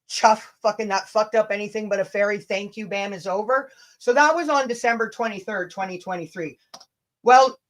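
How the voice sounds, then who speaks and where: A woman's voice: fairly high pitch, a bit bright. A woman hosting a casual livestream rant, blunt, combative and sweary, with chatty asides to her viewers. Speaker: livestream host.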